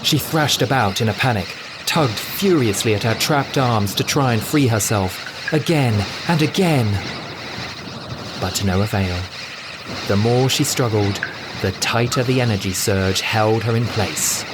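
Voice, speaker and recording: Low-voiced man narrating a dramatic story. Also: a little slow, background noise. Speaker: audiobook narrator and voice performer. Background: music.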